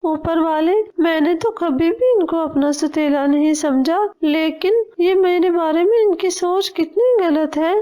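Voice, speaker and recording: Lady doing a sweet dutiful young girl bird for a cartoon, really high voice, earnest n polite. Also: a little slow, few pauses, dark tone. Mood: sorrowful. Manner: earnest, respectful. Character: kind, dutiful young female bird, devoted daughter.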